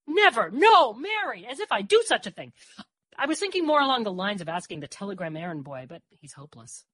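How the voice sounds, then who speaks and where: A woman's voice: lowish voice, very animated, fast talker, a bit bright, no room sound. A woman voicing a roguish, hard-drinking newspaperman with florid, grandiose, teasing speech for an audiobook. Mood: indignant. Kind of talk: audiobook character voice.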